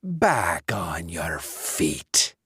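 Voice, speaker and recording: A man's voice: low voice, big pitch swings, drawn-out words, a bit bright, breathy edge, no echo, studio quality, pitched below the performer's own voice, exaggerated voice. A man voicing the cruel ghost of an old-time policeman who taunts and beats his victim. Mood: gloating. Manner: taunting, relishing violence.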